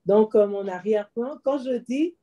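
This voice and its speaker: Modest, mellow woman. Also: a little high, normal speed, highs cut off. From Congo. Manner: modest, earnest storytelling. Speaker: interview guest, teacher. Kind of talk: personal life story in an interview.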